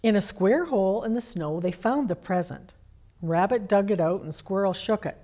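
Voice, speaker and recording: A woman's voice: medium pitch, normal speed, mellow, lightly acted. A woman playing Santa's kindly, homely wife as a children's holiday storyteller. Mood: storytelling.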